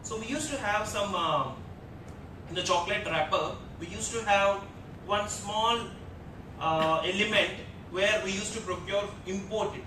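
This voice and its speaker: A man with an Indian English accent speaking on an HR panel from a lectern, earnest and repetitive with many fillers. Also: measured pace. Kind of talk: panel discussion talk. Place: large auditorium stage at a lectern. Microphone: lectern mic heard from a far camera. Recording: echoey hall from far off, rumble.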